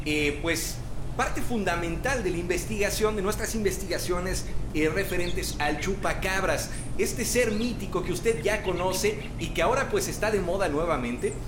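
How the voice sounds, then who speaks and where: A man doing a parody news broadcast, loud and full of fillers and hesitations. His voice sits a little high, with clear noise in the background. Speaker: comedy podcast co-host. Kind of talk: mock news anchoring.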